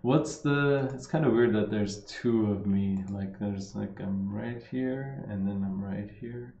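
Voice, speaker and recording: A fitness coach in his thirties, a man with a slight Armenian accent, drawls through viewer questions in a slow, mellow, lowish voice. He rambles, restarting sentences and leaning on fillers. The sound comes through a dull, echoey earphone mic on a live stream. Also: vocal fry. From Los Angeles, United States. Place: indoor room. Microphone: wired earphone mic.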